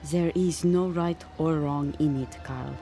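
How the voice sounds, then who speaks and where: An actress with a German accent playing a gentle, wise professor's wife in a radio drama. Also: female voice, low-pitched, fairly even intonation, a little slow, soft background music. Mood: gently reassuring.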